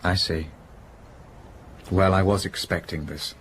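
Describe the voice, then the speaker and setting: An actor playing a contrite, upper-class businessman in a period radio drama, speaking with polite, halting restraint. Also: low voice, fairly even intonation, a bit bright, a little hiss or hum, exaggerated voice. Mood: quiet, resigned.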